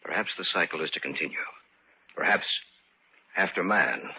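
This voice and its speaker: An actor playing a grave, urgent scientist in an old-time radio horror drama, intense and ominous. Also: male voice, sits low, lively intonation, normal speed, breathy edge, exaggerated voice. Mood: grave foreboding. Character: grave, urgent scientist fearing a deadly new life form. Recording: muffled, noisy vintage radio recording.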